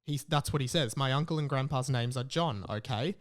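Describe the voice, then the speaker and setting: A man's voice: medium pitch, brisk. An Australian man hosting a podcast in a casual, rambling way, reading out messages and breaking off for his own asides. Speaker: podcast host.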